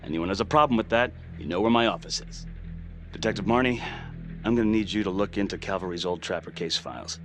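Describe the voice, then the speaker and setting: A man's voice: lowish voice, fast, background noise, lightly acted. A man playing a blunt, commanding police chief in a crime thriller game. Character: blunt male police chief briefing his squad. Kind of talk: cinematic crime game acting. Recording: dramatic music behind the voice. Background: music.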